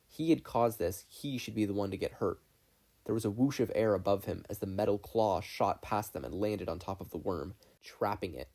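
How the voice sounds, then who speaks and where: Low, mellow-voiced man narrating fiction. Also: normal speed, gravelly. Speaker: podfic reader. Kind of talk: scripted story narration.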